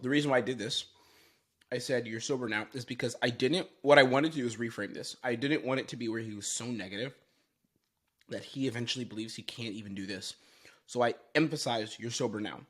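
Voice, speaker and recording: A man's voice: medium pitch, fairly quick, fairly bright tone. A man giving explanatory commentary as a sales coach, stressing his points emphatically and repeating phrases.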